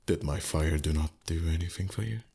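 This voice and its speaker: Casting: male actor for proud, age-old dragon in intimate roleplay audio. Deep, very raspy-breathy voice, formal phrasing, teasing disdain hiding a soft heart. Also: a little fast, exaggerated voice. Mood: flustered, gruff. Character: ancient, proud dragon, gruff but secretly tender. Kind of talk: roleplay ASMR voice acting.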